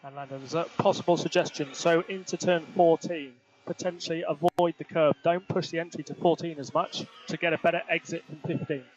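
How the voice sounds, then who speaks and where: Male race engineer, slightly high-pitched, clipped and factual, heard over a muffled team radio channel. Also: fairly even intonation, a little fast, few pauses. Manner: clipped, matter-of-fact. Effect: team radio transmission. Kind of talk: terse radio instructions to a racing driver.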